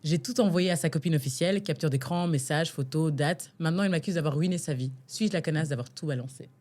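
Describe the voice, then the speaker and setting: Lively young woman co-hosting a podcast. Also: sits low, quick pace. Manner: lively, laughs often, animated. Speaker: podcast co-host. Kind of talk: casual chat and reading a story aloud.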